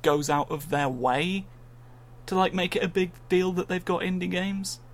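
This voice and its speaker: A man's voice: high voice, normal speed. A man co-hosting a casual games podcast, sarcastic and swearing freely. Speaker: podcast co-host.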